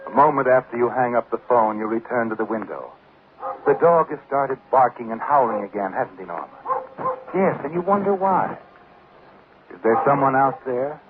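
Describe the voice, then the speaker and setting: A man's voice: mid-range voice, lively intonation, moderate pace, natural voice. An actor playing an insinuating, suspenseful male narrator of a 1940s radio mystery. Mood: ominous, insinuating. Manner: insinuating, suspenseful second-person address. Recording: tinny, noisy 1940s radio recording.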